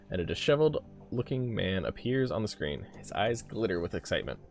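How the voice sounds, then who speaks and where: A man's voice: fairly low pitch, creaky. A man streaming a video game, reading its narration aloud and adding casual asides.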